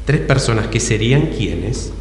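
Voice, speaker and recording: A man's voice: mid-range voice, animated, a little slow, a little mellow, a little hiss or hum. A man with an Argentine accent hosting an improv show, questioning an audience member in a teasing way. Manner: teasing, echoes answers back. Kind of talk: audience interview. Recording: echoey hall with live audience.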